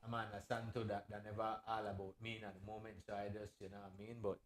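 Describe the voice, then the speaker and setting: A man's voice: lowish voice, little pitch movement, quick pace, roomy sound. A Belizean man, a musical artist, in a rambling casual chat who keeps checking in with his listener. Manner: rambling, keeps saying "you know what I mean".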